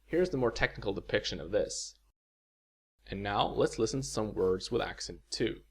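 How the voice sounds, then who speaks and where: A man delivers a scripted video lecture in a low, slightly husky voice. He explains step by step in a clear, measured way. He pauses to say example words for the listener to repeat. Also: lively intonation. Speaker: language teacher.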